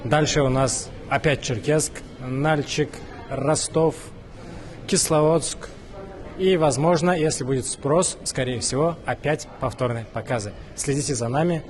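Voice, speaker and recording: A man's voice: mid-range voice, unhurried. A man hosting a vlog, cheerfully greeting viewers and interviewing people at a film screening. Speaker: vlog host reporting from a cartoon screening. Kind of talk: upbeat on-the-street reporting and interviews. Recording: crowd noise all around. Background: crowd chatter.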